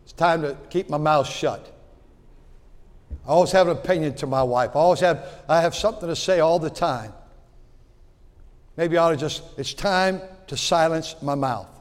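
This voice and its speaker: A man in his sixties preaching a sermon in a folksy way, repeating words and swinging from loud to quiet. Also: fairly high pitch, normal speed, pauses often, in a large hall. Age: 60s. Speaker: pastor.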